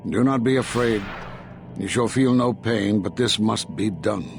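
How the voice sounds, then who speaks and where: Stern male Jedi master, solemn, formal, measured, video game drama, background music. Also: lowish voice, vocal fry. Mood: grave, resolute.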